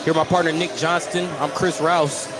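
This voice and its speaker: Guy with a highish voice calling a basketball game on radio, play by play. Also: fairly even intonation, moderate pace, over loud music, a loud crowd, poor audio. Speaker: play-by-play sports announcer. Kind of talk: live basketball radio commentary.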